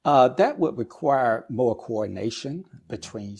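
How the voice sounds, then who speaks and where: A middle-aged man speaking as an expert interview guest, explaining things in a measured, deliberate way with pauses between phrases. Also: medium pitch, lively intonation, creaky, darkish tone, husky, muffled recording. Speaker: guest expert, air traffic controller and business executive.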